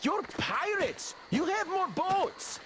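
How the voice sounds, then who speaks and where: An actor playing a brash young camp scout, indignant and argumentative, in a video game. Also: male voice, very high voice, very animated, takes it slowly, background noise, heavily acted.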